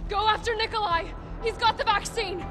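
An actress playing a tough, blunt action heroine in a video game, urgent and defiant. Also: female voice, extremely high pitch, fairly even intonation, a little fast. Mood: urgent, alarmed. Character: tough, defiant, foul-mouthed young female action heroine. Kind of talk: video game cutscene acting. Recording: very loud music behind the voice.

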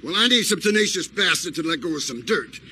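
An actor playing a brash, folksy middle-aged Texan property tycoon, drawling and boisterous, in a video game cutscene. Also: male voice, on the high side, expressive, fast talker, sharp tone, slight background noise. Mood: persuasive, folksy. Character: brash folksy cowboy-hatted property tycoon, hearty and scheming.

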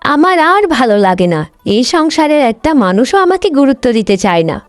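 একজন মহিলা কার্টুন গল্পে অহংকারী, স্বার্থপর তরুণী বড় বোনের চরিত্রে কণ্ঠ দিচ্ছেন, কথায় বকাঝকা আর অভিযোগের সুর।. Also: female voice, high voice, big pitch swings, brisk, no room sound, soft background music. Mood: scolding, aggrieved. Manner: scolding, complaining. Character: vain, selfish, sharp-tongued young elder sister. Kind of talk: Bengali cartoon story voice acting.

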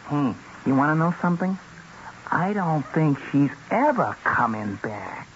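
A shifty lowlife man talks in a fairly high voice. He is slangy, hesitant and evasive, grudgingly talkative. It sounds like a dull 1970s radio broadcast with some hiss. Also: sing-song, unhurried. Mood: chatty, animated. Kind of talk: 1970s radio drama acting. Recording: muffled, noisy old radio broadcast.

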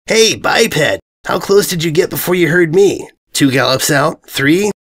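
Male reader as female cartoon pony, brash, vain, cocky, challenging, lively pitch. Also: a little slow.

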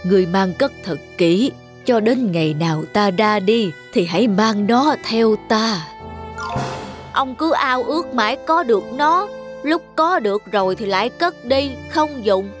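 Sorry old lord looking back woman doing him, kids' music clear underneath. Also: a little high, expressive, a little slow. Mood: rueful. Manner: reflective, rueful.

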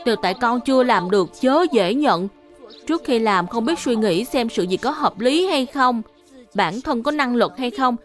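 An earnest, helpful little cartoon boy, acted by a woman. The voice is high and childlike, with polite, careful delivery. Music plays softly behind. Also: lightly acted. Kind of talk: dubbed children's cartoon acting.

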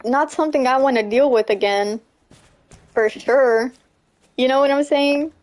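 Young female streamer, slightly high voice, casual, chatty, quick to laugh. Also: poor audio. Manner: chatty, laughs often, repeats "you know what I'm saying". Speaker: livestreamer. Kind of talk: casual chat while gaming, reading chat.

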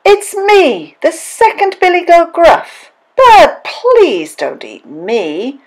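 Middle storybook goat, played by a woman: nervous male, raised pitch, pleading delivery. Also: big pitch swings, slow, exaggerated voice. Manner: pleading, high-voiced. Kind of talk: children's storytelling.

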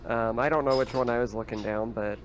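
A man with a medium-pitched voice talks casually as a player in a roleplaying game. There is faint noise behind him on an online call. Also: fairly even intonation, fairly quick.

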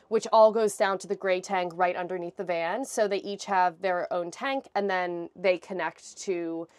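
A woman's voice: medium pitch, no room sound. A young American woman giving a chatty, explanatory walkthrough tour inside a small camper van, speaking casually into a clip-on mic. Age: young adult. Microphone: clip-on lapel mic.

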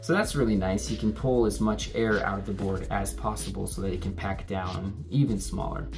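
A man in his thirties talks through a gear review in a measured, explanatory way, his voice sitting a little low. He is recorded indoors at desk distance, with soft music faint beneath him. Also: fairly even intonation. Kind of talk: product review talk to camera. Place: indoor room with curtains and a plant.

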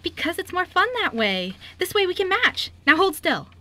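A woman voicing an eager, chatty, sweet seven-year-old girl in an amateur radio skit, gushing and excitable. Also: extremely high pitch, moderate pace, pitched above the performer's own voice, exaggerated voice. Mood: excited.

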